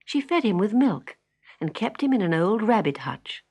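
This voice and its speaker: A woman narrating a children's story in an expressive storytelling manner. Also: mid-range voice, moderate pace. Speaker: storybook reader. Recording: faint music behind the reading.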